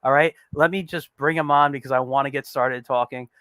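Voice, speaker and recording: A man's voice: medium pitch, steady tone, brisk, pushed and bright, clear, resonant tone, no room sound. A man in his forties hosting an online interview show, chatty and upbeat with frequent fillers. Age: 40s. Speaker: host.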